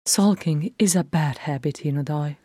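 A woman's voice: deep voice, unhurried, mellow, dry recording, studio quality. A woman voicing a firm, loving dwarf queen speaking to her grown son in a fantasy story, with a stately manner. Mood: stern. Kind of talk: fantasy audiobook character voice.

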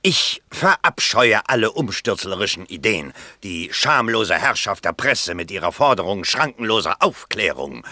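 A man's voice: lowish voice, very animated, moderate pace, hardly any pauses, pushed and bright, dry recording, full character voice. A man playing a self-important, opportunistic small-town politician in a German radio play, pompous and formal. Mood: declamatory.

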